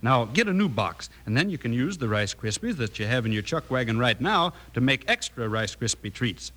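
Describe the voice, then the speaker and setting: A man playing a friendly, folksy cowboy pitchman in an old radio commercial. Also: very animated, moderate pace, a bit bright. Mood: enthusiastic. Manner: folksy, savoring salesmanship.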